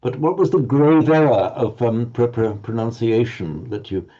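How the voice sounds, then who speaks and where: A man teaching Sanskrit pronunciation in an online group lesson, halting and restarting words, encouraging his students. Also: medium pitch, warm tone, dull audio.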